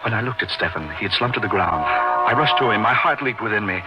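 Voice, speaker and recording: An actor playing a haunted, intense man recounting his strange past in an old radio horror drama, with florid theatrical delivery and dramatic pauses. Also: lowish voice, lively intonation, close to an everyday voice. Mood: hushed, intense. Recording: dull old radio recording with music behind. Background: dramatic music.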